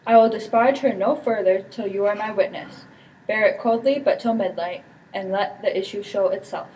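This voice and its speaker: A woman's voice: medium pitch, normal speed, darkish tone, natural voice. A teenage girl playing a scheming, slanderous nobleman in an amateur Shakespeare performance. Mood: coldly accusing. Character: scheming, slanderous villain, the prince's brother. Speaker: student performer. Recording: distant mic with background noise.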